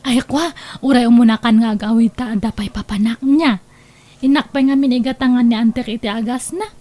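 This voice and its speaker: Woman acting a high-voiced flirty Ilocano sweetheart. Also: brisk, mellow, lightly acted. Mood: sweet, casual. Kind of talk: amateur comedy audio drama acting.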